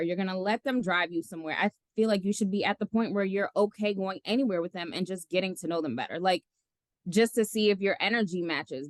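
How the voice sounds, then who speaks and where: A woman's voice: medium pitch, no echo. A woman in her late thirties, a podcast guest giving blunt opinions with casual swearing. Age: 30s.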